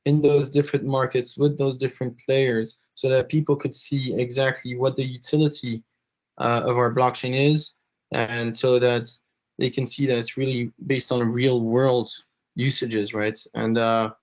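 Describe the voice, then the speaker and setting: A young man, half French and half Lebanese, answering interview questions haltingly with many fillers and restarts. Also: medium pitch, flat delivery. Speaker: interview guest, blockchain executive. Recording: slightly dull podcast audio.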